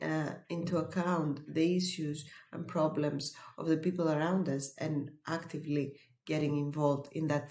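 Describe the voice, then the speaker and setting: Slow, low-voiced woman in an echoey room. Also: a little mellow. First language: Greek. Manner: measured and explanatory, pauses with fillers. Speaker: webinar presenter. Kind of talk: educational webinar talk.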